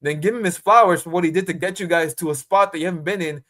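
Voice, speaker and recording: A man's voice: high-pitched, fast talker, fairly bright tone. A man in his twenties, a guest reporter on a sports podcast, talking emphatically and casually, often repeating himself. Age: 20s.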